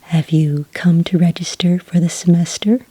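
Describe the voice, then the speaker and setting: A woman speaks in an extremely breathy half-whisper, low and mellow, as a patient, polite enrollment witch in an ASMR roleplay. She is gentle and unhurried, with small hesitations as she takes down details. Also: steady tone, dry recording. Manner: gentle, slow, hesitant official patter. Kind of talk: soft-spoken ASMR roleplay. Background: computer keyboard typing.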